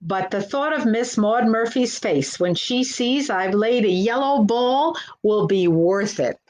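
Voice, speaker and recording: A woman's voice: fairly high pitch, expressive, a little slow, darkish tone, rough recording. A woman voicing a grudging but good-natured female hen with a folksy way of talking in a storybook. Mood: grumbling.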